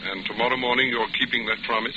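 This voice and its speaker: An actor playing a polite, attentive but secretive gentleman passenger in a 1940s radio drama, suave and slightly hesitant. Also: medium pitch, little pitch movement, fast talker, natural voice. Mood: apologetic, coaxing. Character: smooth, attentive, secretive gentleman ship passenger courting a woman. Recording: muffled, very noisy old radio recording.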